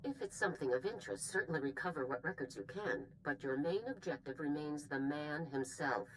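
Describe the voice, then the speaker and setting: Low-voiced, stern female general. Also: expressive, brisk, a little hiss or hum, low-quality recording. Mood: commanding. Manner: formal, authoritative, clipped. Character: stern, brisk female military general briefing a soldier. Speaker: commanding officer. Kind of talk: scripted video game mission briefing.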